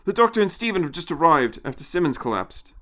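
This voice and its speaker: A narrator playing an anxious male official in a space adventure story. He sounds nervous and complaining, a pessimist whose voice lifts above a normal speaking pitch. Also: sing-song, quick pace, a bit bright. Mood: tense.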